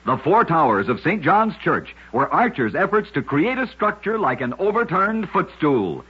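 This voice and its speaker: A man hosting a radio program, reading scripted narration of curious facts in a measured, emphatic storyteller's delivery. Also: on the high side, sing-song, husky. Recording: muffled, lo-fi radio recording.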